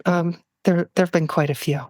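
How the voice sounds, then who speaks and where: A woman speaking as an interview guest in a reflective, personal way, halting thoughtfully as she searches for words. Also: on the low side, fairly even intonation, darkish tone, dry recording, studio quality. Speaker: podcast guest, poet.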